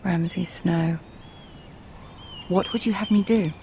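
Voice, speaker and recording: An actress playing a guarded young noblewoman at court in a video game, speaking in a measured, regal way. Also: lowish voice, mellow, a little hiss or hum. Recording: music behind the voice.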